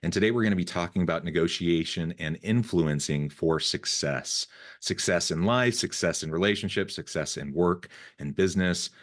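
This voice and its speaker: A man's voice: sits low, normal speed. A man hosts an interview podcast in an upbeat, enthusiastic way. He sounds like he is talking over a remote call link. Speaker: podcast host. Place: home, south of Salt Lake City.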